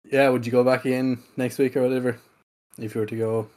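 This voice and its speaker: A man's voice: medium pitch, little pitch movement, brisk, darkish tone. A man chatting casually with friends as a co-host on a podcast.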